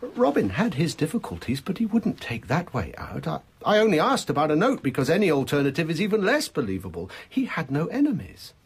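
A man's voice: a little high, huge pitch swings, fairly quick, few pauses, slightly rough, exaggerated voice. An actor playing an agitated, insistent man in his thirties with a British accent, in a radio drama. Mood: distressed, puzzled. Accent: British English. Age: 30s. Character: distraught, emphatic well-dressed man in his thirties.

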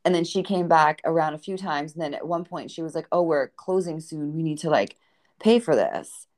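A woman with a Boston accent, her voice sitting on the low side. She is a guest author telling her stories with energy and acts out each quoted line of dialogue. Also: moderate pace. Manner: animated storyteller who acts out quoted dialogue. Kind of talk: podcast conversation, dating anecdotes.

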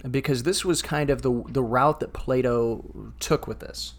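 Man, mid-pitched, earnest podcast co-host who restarts his sentences and stammers while thinking aloud. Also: unhurried. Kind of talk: casual discussion podcast.

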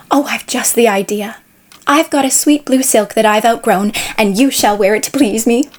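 A woman's voice: fairly high pitch, normal speed, close to an everyday voice. A woman playing a fashionable, rich young society girl in a period audio play, gushing, coaxing and a touch patronising.

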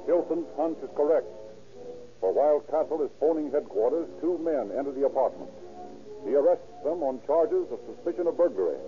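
A man's voice: on the high side, expressive, brisk, natural voice. A man narrating a scripted police case story for an old radio crime drama, in a sober, dramatic style. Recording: tinny old radio recording. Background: music.

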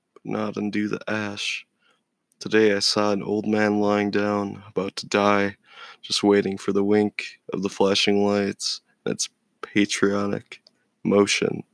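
Male poet, deep voice with extremely heavy fry, brooding and measured spoken-word delivery. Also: no echo. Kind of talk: spoken-word poetry recital.

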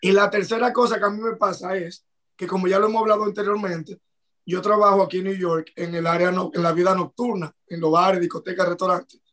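A man with a Dominican Spanish accent and a high voice talks in a relaxed, storytelling way. He is one of the regulars on a casual panel chat. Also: fairly quick. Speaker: podcast panelist.

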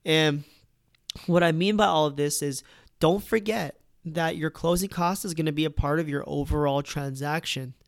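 A man's voice: fairly high pitch, moderate pace, creaky, no echo, clean studio sound. A man, a real estate agent, casually explaining home buying, often checking in with "right?" and "okay?". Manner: conversational, peppers with "right?" and "okay?".

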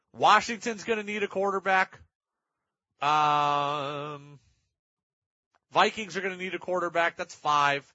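A man's voice: fairly high pitch, bright, forceful tone, no room sound, lo-fi sound. A man hosting sports talk radio, giving opinionated commentary in an emphatic way and repeating points for effect.

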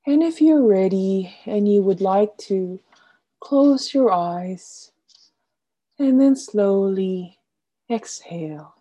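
A woman guiding a relaxation meditation as an instructor, gently and soothingly, with deliberate instructions and softly trailing phrase endings. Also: medium pitch, lively intonation.

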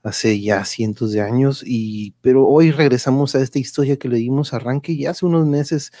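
A man's voice: medium pitch, normal speed, dark tone, no echo, studio quality. A man with a Mexican Spanish accent hosting an online show, chatting in an informal, slangy, rambling way. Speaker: host of an online radio drama show. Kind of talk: casual live-stream introduction and chat.

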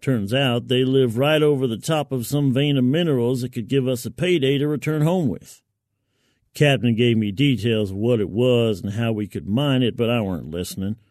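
Male fiction reader, medium pitch, colloquial and wry first-person storytelling, very dry recording. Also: moderate pace, a little mellow, dry recording. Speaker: fiction narrator.

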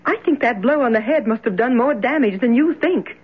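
A woman playing a genteel, spirited young lady, uneasy but firm, in expressive old-time radio drama style. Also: on the high side, fairly quick, background noise, natural voice. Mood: angry, frightened. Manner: expressive, theatrical. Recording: muffled lo-fi old radio broadcast.

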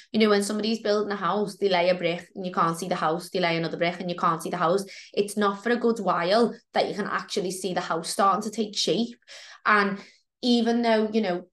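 A woman, a fitness coach co-hosting a podcast, chatting frankly with a croaky voice from a cold. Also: mid-range voice. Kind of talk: casual podcast chat.

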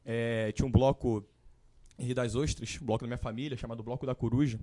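A man in his early twenties with a Carioca Portuguese accent, telling his story as a guest in a casual live-stream chat, relaxed and full of fillers. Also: mid-range voice, quick pace, no echo. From Rio de Janeiro, Brazil. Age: 20s. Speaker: guest musician.

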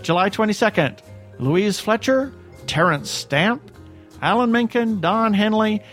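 A man's voice: sits high, expressive, measured pace, fairly bright tone, faint music behind. A man co-hosting a podcast, making announcements in a casual, chatty style. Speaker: podcast co-host.

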